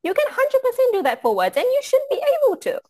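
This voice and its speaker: A woman's voice: very high-pitched, huge pitch swings, fast talker. A woman from Fiji who teaches yoga, speaking in an interview with hesitant, filler-heavy phrasing that rises when she presses a point. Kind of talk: casual interview talk.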